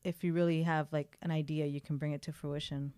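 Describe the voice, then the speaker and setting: A woman's voice: sits low, little pitch movement, a little fast, dark tone, smooth, dry recording, clean studio sound. A woman in her thirties from Miami, chatting casually as an interview guest with lots of filler words. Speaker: event producer guest.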